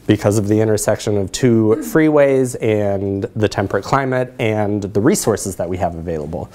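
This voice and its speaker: A man around forty presents his research in a lecture hall. He has a medium-pitched voice and a reflective, conversational way of talking, often checking in with his listeners. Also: a little mellow. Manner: reflective, asks check-in questions. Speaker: presenter, social work researcher. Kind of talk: conference talk with audience discussion.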